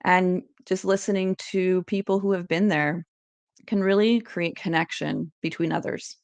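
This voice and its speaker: A woman's voice: fairly low pitch, fairly even intonation, normal speed, no room sound. A woman giving an educational presentation, reading encouraging tips in a scripted, list-like way.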